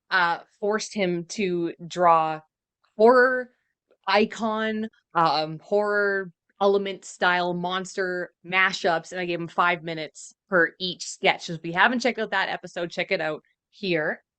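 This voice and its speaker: A woman's voice: lowish voice, fairly bright tone, clean tone. A woman hosting a podcast in a casual, conversational chat style. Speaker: podcast host.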